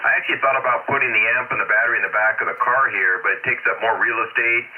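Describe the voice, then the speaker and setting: A man's voice: mid-range voice, steady tone, racing. A man in a casual, rambling amateur radio chat, matter-of-fact, speaking into a desk mic and heard over a shortwave transmission. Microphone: desk microphone into radio transceiver. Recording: narrow shortwave radio transmission, heavy static. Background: loud radio static.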